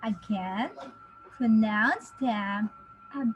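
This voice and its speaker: A woman in her thirties giving a phonics lesson to young children, encouraging them with drawn-out, exaggerated enunciation. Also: medium pitch. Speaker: reading teacher. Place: small indoor home teaching space. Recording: clearly noisy home webcam recording.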